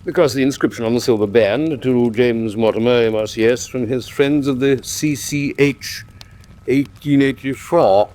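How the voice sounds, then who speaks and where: An actor with an English accent playing an earnest, good-natured gentleman companion in a radio drama, polite, hesitant and mildly puzzled. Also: mid-range voice, moderate pace, natural voice. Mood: tentative. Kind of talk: classic British radio drama acting.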